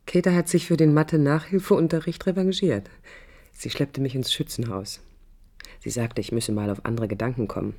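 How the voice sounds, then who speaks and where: A woman's voice: rumbling low, moderate pace, dark tone. An actress playing a proud, idealistic young woman architect in a radio drama, articulate, combative and ironic. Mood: wry, reflective. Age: young adult. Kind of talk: radio drama acting with interior monologue. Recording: 1980s radio play with background noise.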